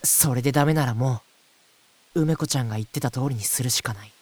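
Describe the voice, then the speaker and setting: Woman voicing a brisk, joking big-brother boy. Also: very deep voice, a little mellow. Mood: upbeat. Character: cheerful, bossy big-brother youth Keita. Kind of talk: anime-style radio drama acting.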